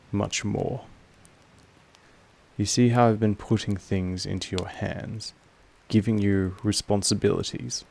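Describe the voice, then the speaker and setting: A man voicing a possessive, patient, commanding vampire master in a roleplay audio monologue, speaking in a slow, deliberate way with weighty pauses. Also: darkish tone, a little hiss or hum. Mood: possessive, tender. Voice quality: low, creaky. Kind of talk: scripted roleplay audio monologue addressed to the listener.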